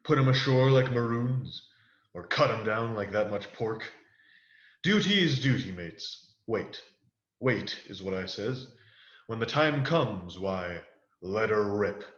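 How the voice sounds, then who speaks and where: A man's voice: lowish voice, animated, slow, a bit bright. A man playing a wily, swaggering pirate ship's cook in a radio play, talking in boastful, salty pirate dialect. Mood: scheming, impatient. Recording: echoey room, dull muffled sound.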